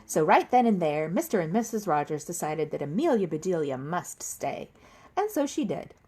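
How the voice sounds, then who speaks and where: A woman reading a children's story aloud in an expressive storytelling style. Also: on the low side, moderate pace, breathy edge. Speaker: storybook reader.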